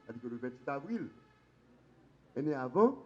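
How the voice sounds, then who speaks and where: A man's voice: mid-range voice, sing-song, moderate pace, lo-fi sound. A Haitian man on a law panel, explaining the constitution emphatically. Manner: emphatic, stresses points with sharp rises. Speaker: lawyer on a conference panel.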